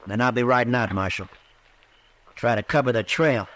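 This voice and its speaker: A man's voice: mid-range voice, normal speed, fairly bright tone, a little hiss or hum, close to an everyday voice. A man acting a stubborn, bitter, lovesick young frontiersman in a 1950s western radio drama. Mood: defiant. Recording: vintage radio drama recording.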